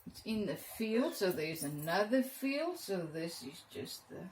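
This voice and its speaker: An Afrikaans-accented woman teaches painting in a slow, unhurried way, her pitch swinging high and low. She talks straight to her viewers as she works. The room is echoey, with a faint hum behind her. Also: sing-song, darkish tone. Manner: chatty, talks to viewers while painting, playful exclamations. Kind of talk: casual step-by-step painting lesson.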